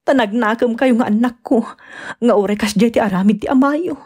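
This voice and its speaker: A woman acts out a long-suffering Ilocano mother in an audio drama. Her voice sits a bit high with a husky edge, and she speaks earnestly, pleading like a devoted wife and parent. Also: moderate pace, darkish tone. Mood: tender, weary. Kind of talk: Ilocano radio-style drama acting.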